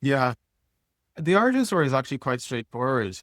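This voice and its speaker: A man's voice: medium pitch, very animated, a little fast, fairly bright tone, no room sound, studio quality. A man speaking as an interview guest in a casual, plain-spoken way, like an executive talking informally about work. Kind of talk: casual conversational interview answers.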